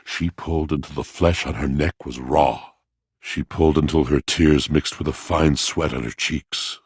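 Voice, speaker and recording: A man voicing a brooding, lovelorn, melodramatic video game character, speaking in a grandiose, poetic, mournful way with a gravelly voice. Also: extremely low pitch, steady tone, normal speed, no room sound, clean studio sound. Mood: sombre storytelling.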